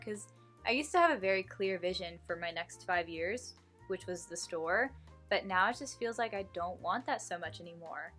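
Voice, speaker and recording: A woman's voice: medium pitch, bright, forceful tone. A woman in her early twenties with an American accent, chatting informally and emphatically in a rambling vlog Q&A. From Houston, United States. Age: early 20s. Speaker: vintage reseller and vlogger.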